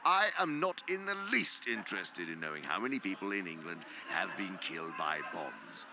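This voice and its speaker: Gravelly, very deep demon uncle. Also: male voice, sing-song, normal speed, noisy background. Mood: scolding. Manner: pompous, theatrical, precise lecturing with hushed emphatic drops. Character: senior male demon, imperious scholarly uncle, exacting mentor. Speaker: senior demon lecturing his subordinate nephew. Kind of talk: radio theatre acting.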